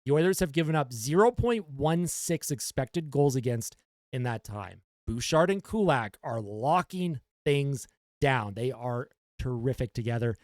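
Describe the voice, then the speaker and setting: A young man hosting a casual post-game hockey fan show, reading out comments with animated enthusiasm while losing his voice. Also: mid-range voice, unhurried, no echo. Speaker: fan reaction show host.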